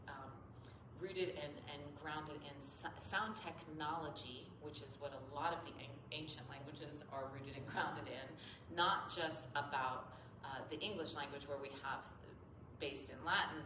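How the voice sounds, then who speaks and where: A woman's voice: low-pitched, reverberant, noisy background. A woman yoga teacher with a slightly nasal voice, explaining mantras earnestly and often repeating her phrases. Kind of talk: spoken yoga instruction. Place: yoga studio.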